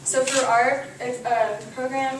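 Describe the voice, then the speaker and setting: A young woman from Texas walks a room through her design in a loose, conversational way. Her pitch sits a little high and barely moves. She is heard from a distance in a very echoey room with steady background noise. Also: takes it slowly. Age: young adult. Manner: loose and conversational, many fillers. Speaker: student architect presenting. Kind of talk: class presentation. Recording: echoey room from far off, noisy.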